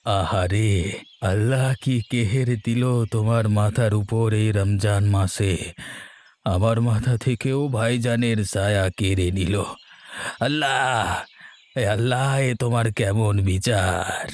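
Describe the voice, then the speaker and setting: A man's voice: on the low side, takes it slowly, darkish tone. A man voicing an anxious, pious older village uncle in a cartoon, sighing and exclaiming dramatically. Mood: grieving. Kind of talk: cartoon voice acting. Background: music.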